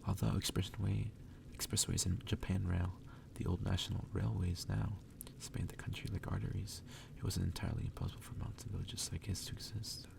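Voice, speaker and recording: A man reading a story aloud in a hushed, unhurried, breathy ASMR whisper. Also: sits low, little pitch movement. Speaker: reader. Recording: distant mic with clear background noise.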